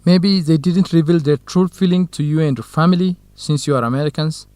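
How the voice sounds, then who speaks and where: A man's voice: fairly high pitch, brisk, darkish tone, no room sound, natural voice. A man playing a friendly, curious Ethiopian field researcher in an educational radio drama, talking in a conversational, explanatory way. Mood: questioning.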